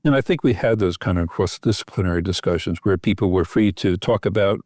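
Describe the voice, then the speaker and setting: Low-pitched older American man explaining. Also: brisk, darkish tone, no room sound, clean studio sound. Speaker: expert guest, physician and academic. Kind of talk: interview answers, explanatory.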